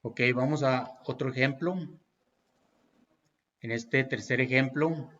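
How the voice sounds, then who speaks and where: A man's voice: medium pitch, slow, a bit bright. Man, programming tutorial, patient, hesitant fillers, slightly dull audio. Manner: patient, step-by-step, frequent fillers and self-corrections. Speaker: programming teacher. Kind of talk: screen-recorded tutorial walkthrough.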